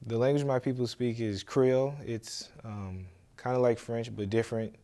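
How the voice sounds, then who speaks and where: A young man from Haiti answering interview questions in a relaxed, halting way, with a few fillers. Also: medium pitch.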